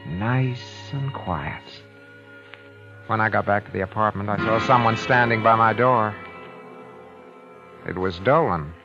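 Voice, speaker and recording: An actor playing a cold, self-satisfied murderer in a vintage radio drama, with a clipped, smug, impatient delivery. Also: male voice, fairly low pitch, measured pace, long pauses. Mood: smug, brooding. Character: cold, self-satisfied man who got away with murder. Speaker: actor narrating in first person as the lead. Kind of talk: 1940s-50s radio acting. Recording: dull vintage radio recording with background music.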